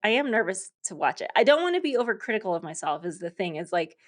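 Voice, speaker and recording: A woman's voice: medium pitch, big pitch swings, fast talker. Chatty woman in her 30s, laughs a lot, lots of likes and ums, easygoing. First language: English. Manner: chatty, laughs often, many fillers. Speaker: podcast host, interviewed guest. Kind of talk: casual interview conversation.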